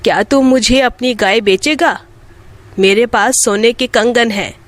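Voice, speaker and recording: A woman's voice: a little high, unhurried, natural voice. A woman voicing a poor, kind-hearted and pious old mother in a children's moral story. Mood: brusque.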